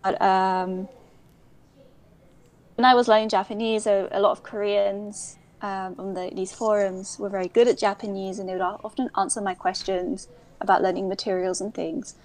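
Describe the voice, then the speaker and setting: A woman's voice: mid-range voice, flat delivery, normal speed, a little mellow. Young woman, interview guest, talkative and digressive, mid-range voice. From United Kingdom. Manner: chatty and digressive, many restarts. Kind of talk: casual interview chat.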